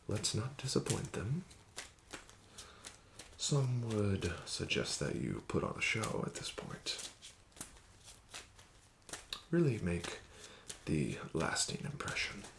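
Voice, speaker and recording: Gravelly low-voiced man, slow ASMR dealer. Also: noisy background. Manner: smooth, flattering, unhurried dealer patter. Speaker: casino dealer. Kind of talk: ASMR roleplay.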